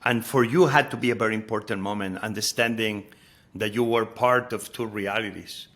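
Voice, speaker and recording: A man's voice: fairly low pitch, fairly even intonation, normal speed, a bit bright. A man from Spain with a Spanish accent, hosting a podcast interview, often restarting and repeating words as he searches for phrasing. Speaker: podcast host. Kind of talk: conversational interview.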